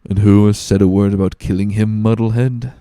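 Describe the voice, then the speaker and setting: Casting: male reader as manipulative seafaring villain, calculating and glib, low-ish mellow voice, classic storybook drama. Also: fairly even intonation, a little fast, smooth, dry recording, studio quality, natural voice. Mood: scornful. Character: sly, scheming ship's supercargo, smooth manipulative villain.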